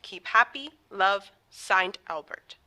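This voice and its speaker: An actress playing a businesslike telegraph clerk in a 1940s radio play, reciting in a polite, impersonal, measured way. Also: female voice, mid-range voice, animated, sharp tone. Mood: businesslike, detached.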